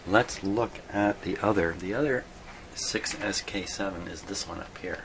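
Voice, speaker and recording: A man thinking aloud in an unscripted workbench video, halting and puzzled, muttering to himself in a self-deprecating way. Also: sits low. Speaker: host of a radio repair video. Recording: clearly noisy hobby video recording.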